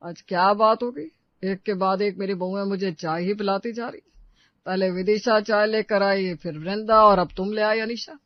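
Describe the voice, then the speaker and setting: Woman acting a bemused elderly parent-in-law, mid-pitched. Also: fairly even intonation, moderate pace, no echo, muffled recording, lightly acted. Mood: puzzled. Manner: questioning, bemused. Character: puzzled elderly parent-in-law of three daughters-in-law. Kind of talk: dramatised moral family story.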